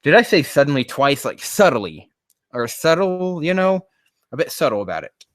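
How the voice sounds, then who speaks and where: A man's voice: on the high side, very animated, moderate pace, dry recording, studio quality. A man on a casual livestream reading fan fiction aloud, breaking off into rambling, profane, exasperated commentary.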